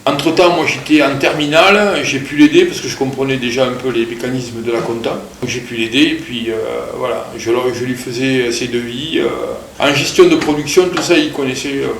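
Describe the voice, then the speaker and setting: A man in his fifties, born in Portugal, speaks at a middle pitch in a halting, unhurried way, pausing often and filling gaps with small sounds. His voice carries a noticeable room echo. A faint ambient hum sits underneath. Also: a bit bright. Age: 50s. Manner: hesitant, many pauses and filler sounds. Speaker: interviewee telling his family's migration story. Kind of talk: casual spoken recollection.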